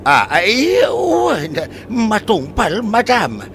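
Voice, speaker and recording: A man's voice: sits high, wildly swooping pitch, slow pace, gravelly, noisy background, exaggerated voice. A man playing a timid old resort worker who stammers and hesitates before every reply. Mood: deferential. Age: older.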